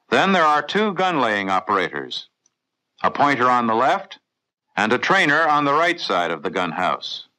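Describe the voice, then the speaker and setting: A man with an American accent reading scripted mid-century instructional film narration for the navy in a clear, methodical, authoritative way. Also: mid-range voice, sharp tone, rough recording. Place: voice-over recorded in a studio.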